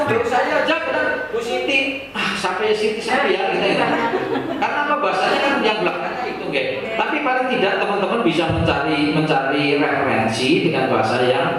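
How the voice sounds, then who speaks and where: High-pitched Javanese-accented man in an echoing hall. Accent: Banyumasan Javanese. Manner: didactic, asks rhetorical questions, mixes in colloquial Javanese. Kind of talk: informal public address to teachers. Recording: echoey room from far off, faint noise.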